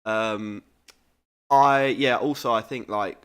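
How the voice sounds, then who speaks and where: A man's voice: medium pitch, fairly even intonation, slow, a bit bright, smooth. A man co-hosting a podcast in casual chat, his voice nasal and congested from hay fever, rambling and self-deprecating. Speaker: podcast co-host.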